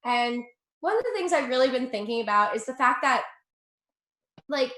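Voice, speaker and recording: A woman's voice: high-pitched, lively intonation, moderate pace, a bit bright, echoey room. A young woman from Russia with an American accent doing a chatty, profane stand-up set, laughing at her own jokes. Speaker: stand-up comedian.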